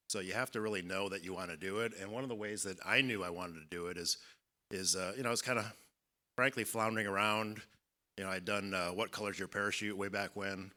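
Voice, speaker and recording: A man in his fifties speaking as a panelist at a conference, measured and reflective, with many fillers. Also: low-pitched, bright, forceful tone. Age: 50s. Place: conference hall.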